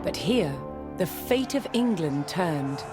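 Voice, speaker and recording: A woman narrating a scripted history documentary in a measured, dramatic storytelling style. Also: lowish voice, expressive. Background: loud music behind the voice.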